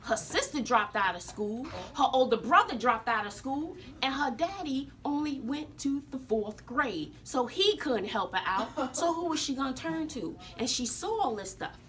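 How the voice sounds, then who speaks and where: A woman's voice: high voice, lively intonation, moderate pace, hardly any pauses, fairly bright tone. An American woman playing a sassy, folksy, wrinkled old woman who tells stories to students in an emphatic, theatrical way, repeating herself for drama. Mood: solemn, dramatic. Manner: emphatic, dramatic, repeats phrases, addresses listeners as sugar. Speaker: teacher telling her life story to students. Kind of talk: theatrical classroom storytelling. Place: classroom with students present.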